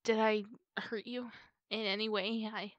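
A woman's voice: no echo, muffled recording, natural voice. A woman playing a distraught, ashamed werewolf girlfriend in an ASMR roleplay, stammering and apologetic, with sighs breaking up her words. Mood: weary, apologetic. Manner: halting, stammering, sighing. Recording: steady rumbling background noise.

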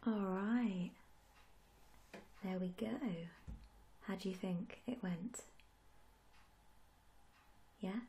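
A young woman performs a soft-spoken ASMR roleplay as a service worker. Her voice sits at a medium pitch and is hushed and gentle, drifting into whispers. There is clear noise behind her in the recording. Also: fairly even intonation, drawn-out words, long pauses. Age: young adult. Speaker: ASMR creator playing a tattoo artist. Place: small room indoors.

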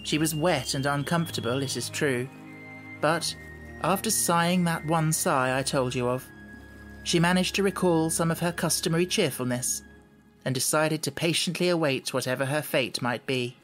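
A man's voice: on the high side, moderate pace, a bit bright, faint music behind. Man narrating a children's storybook. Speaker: audiobook narrator.

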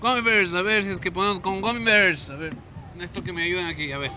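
Bright high-voiced TV host, playful. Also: male voice, fairly quick. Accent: Ecuadorian Spanish. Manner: playful, coaxing, repeats words. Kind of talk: lively on-location presenting. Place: hotel kitchen and street outdoors. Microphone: handheld mic. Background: street ambience, traffic.

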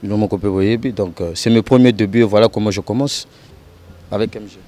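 A Congolese man being interviewed as a guest musician in an outdoor courtyard. His voice sits on the low side and his talk is measured. He explains things step by step, with plenty of "donc, euh" fillers. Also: fairly even intonation, warm tone, no echo. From Brazzaville, Congo. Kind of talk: casual interview. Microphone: handheld mic held by host.

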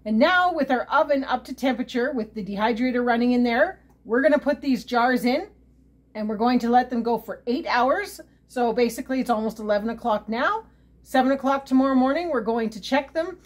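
A woman's voice: a little high, a little fast. Chatty woman hosting a home cooking video. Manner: chatty, upbeat, thinks aloud while working. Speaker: homesteading channel host. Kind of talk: casual how-to cooking video. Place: home kitchen.

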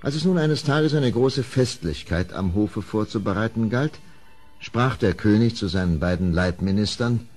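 A man's voice: fairly low pitch, expressive, normal speed, a little mellow. A man narrating a scripted tale as a storyteller in an audio drama. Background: music.

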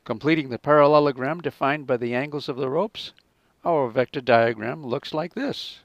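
A man narrating a scripted physics lesson as a teacher, in a measured, explanatory manner. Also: medium pitch, dry recording, muffled recording.

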